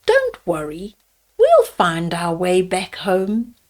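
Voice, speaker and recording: A woman's voice: lowish voice, huge pitch swings, takes it slowly, pitched below the performer's own voice, heavily acted. A woman voicing a brave young boy in a storybook, earnest and reassuring toward his little sister.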